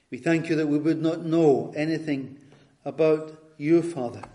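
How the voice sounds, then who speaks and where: A retired Scottish pastor in his later years, guest preaching, reading scripture and leading prayer in a measured, earnest way. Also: mid-range voice, a little mellow. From Scotland. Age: older.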